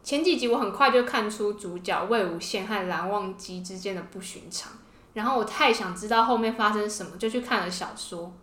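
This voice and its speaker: A woman's voice: medium pitch, a little fast, fairly bright tone. Gushing Taiwanese woman in a roomy space. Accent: Taiwanese Mandarin. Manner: gushing fangirl enthusiasm. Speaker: podcast co-host. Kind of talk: casual enthusiastic chat. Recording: roomy indoor echo.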